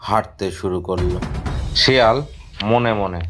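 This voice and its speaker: A man's voice: lowish voice, a little slow. A man narrating a scripted children's story as the storyteller. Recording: prominent music behind the voice.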